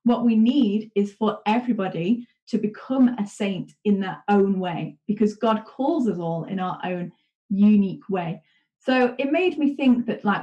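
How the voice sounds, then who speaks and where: Woman co-host, casual chatty delivery, slightly dull online sound. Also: medium pitch. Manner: warm conversational with fillers. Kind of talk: casual faith chat.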